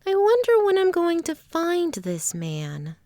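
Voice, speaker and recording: A woman's voice: extremely high pitch, wildly swooping pitch, takes it slowly, dark tone, no echo, studio quality, heavily acted. A woman playing an earnest, confident traveling performer in a fantasy audio drama. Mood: matter-of-fact.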